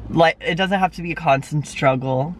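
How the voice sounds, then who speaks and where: A man's voice: a little high, moderate pace, a little hiss or hum, low-quality recording. A young man chatting casually with a friend in a parked car, full of fillers and supportive. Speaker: friend and co-host. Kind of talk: casual chat.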